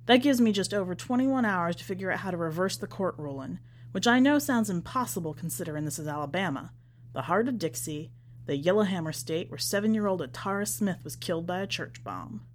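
A woman's voice: on the low side, lively intonation, brisk, a little hiss or hum. Woman narrating fiction dramatically. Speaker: podcast editor reading a short story.

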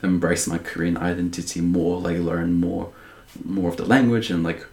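A man's voice: low-pitched, flat delivery, moderate pace, gravelly, darkish tone, echoey room. A young man, a podcast guest, talking casually, rambling and full of restarts and "like". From New Zealand. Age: young adult. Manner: rambling, heavy use of "like", many restarts.

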